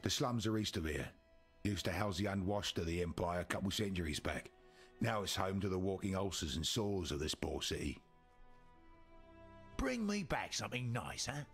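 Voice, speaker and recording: Gravelly, sardonic slum-town rogue. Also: male voice, low voice, lively intonation, moderate pace. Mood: wry. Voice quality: gravelly, husky. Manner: wry, colloquial. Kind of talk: scripted game character dialogue. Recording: music behind the voice.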